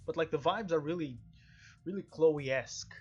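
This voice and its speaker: A man with a husky voice casually commentating as he streams a game. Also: a little high, moderate pace, darkish tone, dull audio, faint music behind. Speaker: let's play streamer. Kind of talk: casual game commentary, reading dialogue aloud.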